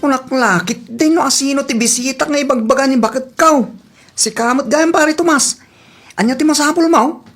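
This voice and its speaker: Give the comedy a woman playing a reluctant working buddy who grumbles to his friend. The voice is high, with an Ilocano accent. It is acted as radio drama. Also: moderate pace, soft background music. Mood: reluctant, grumbling.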